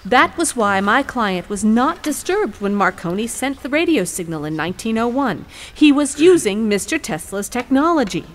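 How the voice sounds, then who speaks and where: A woman playing a determined attorney in an audio courtroom drama, speaking formally and deliberately. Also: on the high side, sing-song. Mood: formal, assured. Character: poised, determined female attorney arguing in court.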